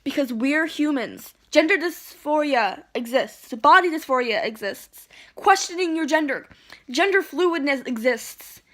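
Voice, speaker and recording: A woman's voice: high voice, expressive, unhurried, a bit bright. A woman ranting indignantly into her phone at home, rambling and restarting as she argues. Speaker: vlogger. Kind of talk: heated rant to camera. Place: at home, indoors.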